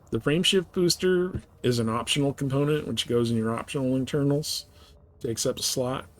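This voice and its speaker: A man's voice: medium pitch, slight background noise. A man hosting a live game stream, chatting casually with viewers and explaining things in colloquial language. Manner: colloquial, explanatory, giving advice. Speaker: livestream host.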